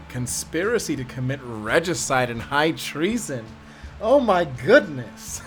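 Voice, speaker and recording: A man playing a sadistic prison warden in an audio drama, gloating and mocking, with menacing, drawn-out delivery. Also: medium pitch. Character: gloating sadistic male prison warden who relishes power. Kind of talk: scripted audio drama acting.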